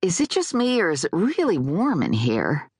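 A woman's voice: lowish voice, huge pitch swings, normal speed, a little mellow, husky, no echo, studio quality, pitched above the performer's own voice, exaggerated voice. A woman voicing a sassy, sarcastic grown female heroine of a comic paranormal novel. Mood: probing. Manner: sarcastic, mock-offended.

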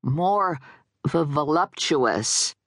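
A woman's voice: deep voice, expressive, drawn-out words, no echo, studio quality, heavily acted. A woman voicing a shy, awkward young male ghost from a novel. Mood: flustered. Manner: hesitant stammer on first sounds.

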